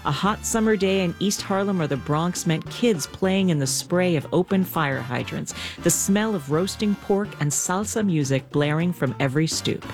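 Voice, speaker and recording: Woman radio host, slightly low voice. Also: moderate pace, hardly any pauses, soft background music. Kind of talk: scripted radio interview introduction.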